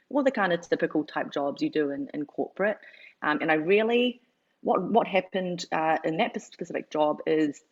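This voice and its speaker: A New woman in her thirties with a low voice chats through her story, leaning on "like" and "you know". The audio is dull and lo-fi, like a video call. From New Zealand. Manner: chatty, filler-heavy, lots of "like". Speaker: guest. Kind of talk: casual interview storytelling.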